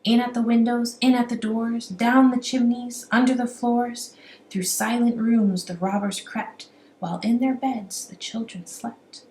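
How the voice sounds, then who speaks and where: A woman reading a rhyming children's story aloud in an animated, amateur storytelling style. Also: on the high side, a little slow. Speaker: amateur children's book reader. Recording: roomy echo, faint background noise.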